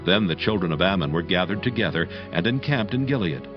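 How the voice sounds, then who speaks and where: Low-voiced male scripture narrator. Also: animated, fairly quick. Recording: dull audio with faint music.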